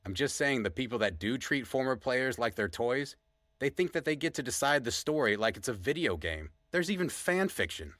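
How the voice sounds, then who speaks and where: A man's voice: medium pitch, big pitch swings, normal speed, a bit bright, no echo, clean studio sound. A man acting as a blunt, exasperated podcast co-host in a conversational audio drama. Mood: indignant. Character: Sonny, fed-up, indignant male podcast co-host.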